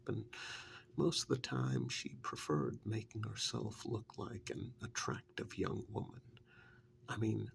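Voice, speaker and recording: A man acting out a plain-spoken, fond handyman who serves a witch, in an ASMR role-play. His soft half-whisper crackles with heavy vocal fry. He takes his time, halting often, over a faintly noisy recording. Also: mid-range voice, heavily acted. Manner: unhurried, halting, matter-of-fact storytelling.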